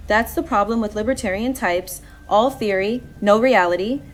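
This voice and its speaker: A woman's voice: medium pitch, normal speed, lightly acted. A woman playing a firm, impatient law-and-order caller to a talk radio show. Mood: firm, dismissive. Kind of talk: radio call-in drama acting.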